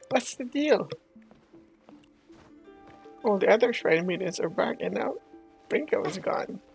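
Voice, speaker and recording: A gaming host with a mid-range voice and a very gravelly, creaky texture. The delivery is casual, chatty playthrough commentary, with faint game music behind it. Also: medium pitch, lively intonation, slow, long pauses. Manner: reacts aloud, chatty.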